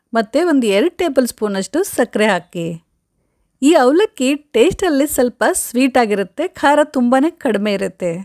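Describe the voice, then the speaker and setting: Lively woman teaching a recipe. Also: a little high, no echo, studio quality. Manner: friendly, clear and instructive. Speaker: cooking channel host. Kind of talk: step-by-step recipe instructions. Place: home kitchen, indoors. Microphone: lapel mic.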